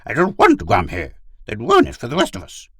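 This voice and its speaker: A man's voice: mid-range voice, huge pitch swings, a little fast, bright, forceful tone, breathy edge, dry recording, studio quality, pitched above the performer's own voice, exaggerated voice. A man voicing a complacent, carefree alien shapeshifter in dog form for an audiobook story. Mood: stubborn. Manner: carefree, stubborn, earnest. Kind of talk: audiobook character voice.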